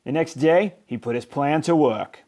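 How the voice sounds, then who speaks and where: A man reading a children's picture book aloud in expressive narration, with emphatic, deliberate phrasing. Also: on the high side. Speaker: storybook reader.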